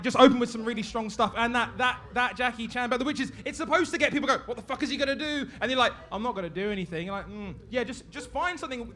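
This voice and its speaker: A man's voice: extremely high pitch, bright, forceful tone. A man giving casual, blunt, crude feedback as a judge on a comedy show.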